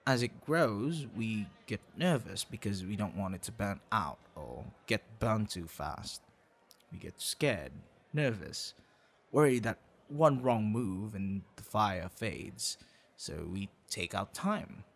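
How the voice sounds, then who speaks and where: A man's voice: fairly low pitch, very animated, exaggerated voice. A man acting a tender, secretive young man on a date in an ASMR boyfriend roleplay, easily flustered. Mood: tender, poetic. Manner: slow, intimate, with halting stammers when flustered.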